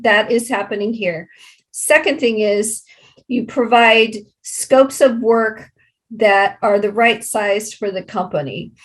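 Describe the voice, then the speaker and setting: A woman with a mid-range voice speaks at a very measured pace. She lays out her answers methodically, point by point, like a project representative addressing a panel. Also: mid-range voice. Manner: methodical, enumerates points step by step. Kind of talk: explanatory panel discussion.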